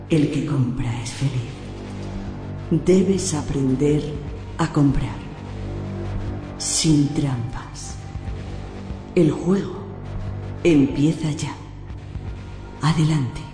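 A controlling, fatherly overlord in a surreal radio drama. He speaks extremely slowly, with soothing menace, in a cavernous echo over music. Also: medium pitch. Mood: preaching. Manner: slow, deliberate, sermonizing, falsely soothing. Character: calm, paternal, menacing male voice of a controlling system. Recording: long hall reverb under music.

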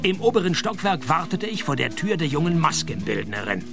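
Radio detective, sardonic, deadpan, creaky fry, highish male voice. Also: brisk, music in the background. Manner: dry, deadpan sarcasm. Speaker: narrator and lead actor. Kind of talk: radio play detective narration and dialogue.

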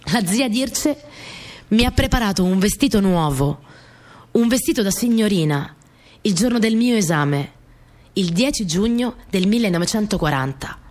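A woman's voice: medium pitch, expressive, normal speed, darkish tone, natural voice. An actress playing a young peasant girl in a radio monologue, telling her story in a naive, colloquial way, repeating phrases for emphasis. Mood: earnest, recollecting. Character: young Italian peasant girl, earnest, plainspoken, stubborn storyteller. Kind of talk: dramatic monologue in a radio play. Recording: music behind the voice.

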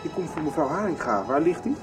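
A man's voice: on the high side, very animated, quick pace, music in the background. Earnest young man, slightly hesitant. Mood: polite, insistent. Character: earnest young man searching for his father. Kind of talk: radio soap acting.

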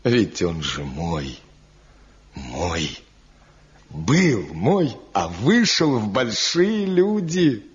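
An actor playing an old master woodcarver in a radio drama, gentle, proud and fatherly, often repeating his words. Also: on the low side, sing-song, drawn-out words, heavily acted. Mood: fond, joyful. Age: older. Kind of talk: Soviet radio drama acting. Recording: dull 1970 radio play recording.

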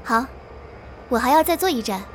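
A woman's voice: sits high, expressive, moderate pace, soft background music. An actress playing a chatty, nonchalant young woman riding the train alone at night in a horror drama. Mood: casual, irked. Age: young adult. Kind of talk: conversational horror drama acting.

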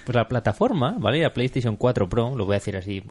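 Animated, playful podcast host. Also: male voice, mid-range voice, quick pace, husky. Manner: animated, laughs easily, playful. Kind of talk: casual podcast banter.

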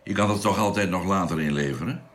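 A man acting a calm expedition doctor in a radio drama, speaking in a measured, unhurried way. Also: deep voice, a little hiss or hum. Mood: thoughtful, interested. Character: calm male doctor, space expedition narrator and amateur archaeologist. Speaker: narrator and actor. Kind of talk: radio drama narration and dialogue.

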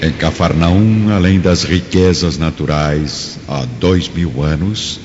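A man's voice: deep voice, takes it slowly, background noise. A Brazilian man narrating a radio drama in a dramatic scripted style. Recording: muffled, long reverb, loud music behind. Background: music.

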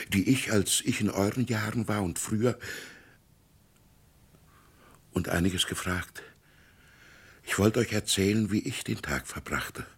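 An old, lonely country pastor in a 1960s radio play. His voice is gravelly and very breathy, with melody that rises and falls widely. He muses haltingly and poetically, full of wistfulness. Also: on the low side, exaggerated voice. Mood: pleading, wistful. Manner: musing, halting, poetic delivery. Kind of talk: 1960s German radio drama acting. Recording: 1960s radio drama recording.

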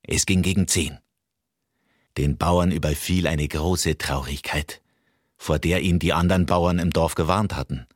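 An actor playing a deadpan, matter-of-fact narrator of earthy absurd tales in a radio play. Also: male voice, extremely low pitch, unhurried, no room sound, studio quality.

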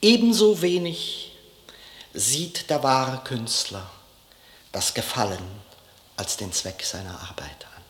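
A man playing a reflective diarist in a 1950s radio play, reading and narrating deliberately in a literary style. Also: mid-range voice, lively intonation. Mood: solemn, declamatory. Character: reflective male diarist reading a memorandum aloud. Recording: echoey room, 1950s radio recording.

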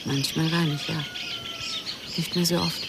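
An actress playing a guarded, wistful widow who speaks evasively and intimately in a German radio crime drama. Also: female voice, low-pitched, steady tone, measured pace, creaky, very noisy. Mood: tender, wistful.

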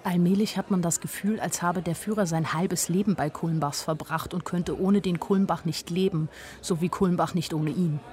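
A woman's voice: fairly low pitch, steady tone, moderate pace, darkish tone, lightly acted. An actress playing a naive, wryly observant young woman, narrating a radio drama in a dry, matter-of-fact way. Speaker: first-person narrator.

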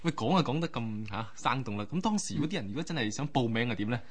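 一位帶香港粵語口音嘅男主持，用口語化嘅方式向聽眾講解故事，好似電台傾偈咁。. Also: male voice, medium pitch, animated, fast. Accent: Hong Kong Cantonese. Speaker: radio host. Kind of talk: conversational storytelling radio talk.

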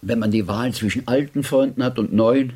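Husky, wry gentleman philosopher played by a man. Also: mid-range voice, moderate pace, natural voice. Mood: polite, courteous. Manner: courteous, wry, discursive. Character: genial, ironic, eloquent English gentleman philosopher. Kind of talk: German radio drama acting.

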